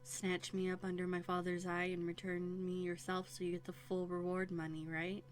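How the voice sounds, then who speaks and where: Woman acting a low-voiced, haughty runaway princess. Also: completely flat delivery, moderate pace, soft background music, natural voice. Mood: skeptical, challenging. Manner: prim, formal, indignant phrasing with dramatic hesitations. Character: haughty, wary young runaway princess, quick-tongued. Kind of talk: scripted audio roleplay acting. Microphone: close USB studio microphone.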